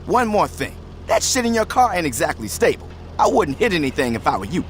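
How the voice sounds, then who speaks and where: A man's voice: a little high, sing-song, brisk, slight background noise. An actor playing a jittery male gang helper warning about a rigged car in a video game cutscene. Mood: urgent.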